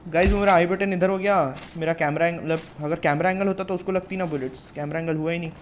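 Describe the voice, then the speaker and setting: A man's voice: on the high side, steady tone, fast talker, slight background noise. Young man chatting through mobile game. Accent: Indian. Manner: chatty, addresses viewers as guys and brother. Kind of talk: casual gameplay commentary.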